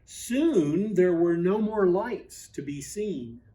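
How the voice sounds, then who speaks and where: A man reading a children's Christmas storybook aloud in an expressive, measured way, with deliberate pauses and emphasis. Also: high-pitched. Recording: voice at a distance from mic.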